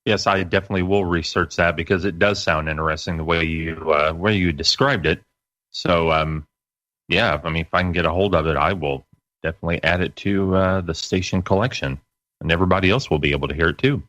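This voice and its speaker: Deep-voiced male radio guest. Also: fairly quick, fairly bright tone, no room sound, dull audio. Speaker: guest, internet radio broadcaster. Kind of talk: casual interview chat.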